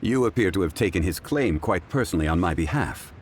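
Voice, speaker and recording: Very low voiced calm scholar gentleman, formal n measured but lots of melody, game dialogue. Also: background noise. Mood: calm, amused. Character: composed, modest, erudite gentleman scholar. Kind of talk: video game dialogue acting.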